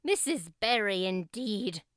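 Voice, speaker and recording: A woman voicing a stern, practical but gruffly kind older female guardian in an audiobook, with a brisk, curt manner. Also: mid-range voice, lively intonation, no room sound, studio quality. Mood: indignant. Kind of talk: audiobook character acting.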